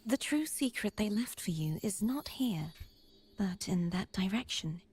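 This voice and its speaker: Soft, mellow, mysterious young woman. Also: mid-range voice, expressive, unhurried, husky. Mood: grave, measured. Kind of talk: voiced game dialogue.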